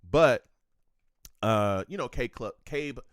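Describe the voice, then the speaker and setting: Man, sports talk host, animated, dry, chatty. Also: mid-range voice, slow, no echo. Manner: lively, repeats words when starting sentences. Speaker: podcast host.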